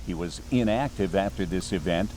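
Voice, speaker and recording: A grave documentary narrator for an analog horror film, played by a man. His low voice is measured and formal, reading a scripted report under very heavy background noise. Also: lightly acted. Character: solemn male documentary narrator of monster disaster. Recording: very noisy analog horror soundtrack.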